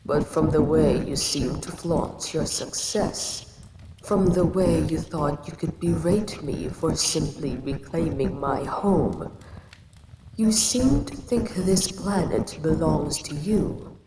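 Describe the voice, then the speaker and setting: A woman's voice: low-pitched, slow pace, crowd noise. A woman voicing an ancient giant female kaiju queen who speaks down to a tiny human she calls a pest. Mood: brooding. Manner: condescending, calls the listener pest. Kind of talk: audio roleplay acting. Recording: echoey reverb with ambient noise.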